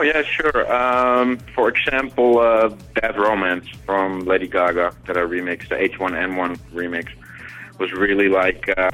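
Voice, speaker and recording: Bright-voiced low man, relaxed rambling. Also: low-quality recording. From Netherlands and South America. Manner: relaxed, rambling, many fillers and "you know". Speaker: music producer guest. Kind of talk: casual phone interview.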